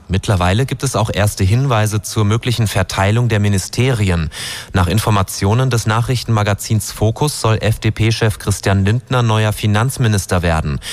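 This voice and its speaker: A man reading a news bulletin on the radio as the station's newsreader. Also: lowish voice.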